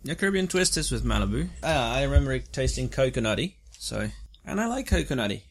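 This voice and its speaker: A man's voice: medium pitch, big pitch swings, fast talker. Laid-back man with short dry replies. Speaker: podcast co-host. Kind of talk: casual chat.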